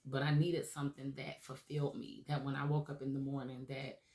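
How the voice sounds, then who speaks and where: A woman host gives an earnest, encouraging monologue, her voice raspy as it comes back from laryngitis. She speaks at an even, level pitch, checking in with listeners now and then. The sound is roomy, with a noticeable echo. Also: brisk. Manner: earnest, encouraging, frequent "okay?" and "um". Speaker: radio show host. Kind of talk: motivational talk show monologue.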